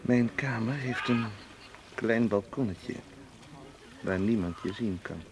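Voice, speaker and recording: Guarded, low-voiced suitor. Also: male voice, slow pace. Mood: intimate, coaxing. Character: guarded, intimate suitor, abrupt and wary. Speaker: actor in a radio drama. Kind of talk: naturalistic Dutch radio play acting.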